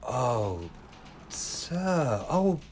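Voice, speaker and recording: An English actor playing an earnest young police constable in a radio comedy, well-spoken, pleading and easily exasperated. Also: male voice, medium pitch, big pitch swings, drawn-out words, darkish tone, slight background noise. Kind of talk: BBC radio comedy acting.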